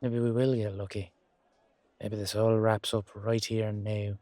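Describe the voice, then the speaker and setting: A man playing a weary, down-to-earth knight guardsman in a fantasy audio drama, musing colloquially to his partner with hesitant pauses. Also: lowish voice, level tone, darkish tone, no echo, lightly acted. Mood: thoughtful, suspicious. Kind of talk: roleplay audio drama monologue addressed to a partner.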